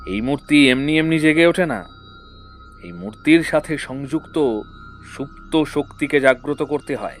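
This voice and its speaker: An actor playing a grave, knowledgeable man who recounts dark legends in a measured, solemn way in an audio drama. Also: on the high side, expressive, soft background music. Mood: serious, explaining. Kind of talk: dramatic Bengali audio story acting.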